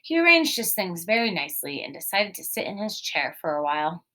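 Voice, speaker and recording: Expressive woman teacher reading aloud. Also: lowish voice, a little fast. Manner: expressive, explanatory, addresses young children. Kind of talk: storybook read-aloud with guiding questions.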